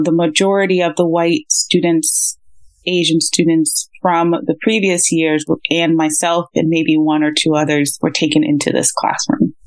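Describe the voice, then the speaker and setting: A woman from Boston with a lowish voice is a podcast guest. She answers in a measured, thoughtful way. Her voice sounds dry and close. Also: no echo. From Boston, USA. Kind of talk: interview answers.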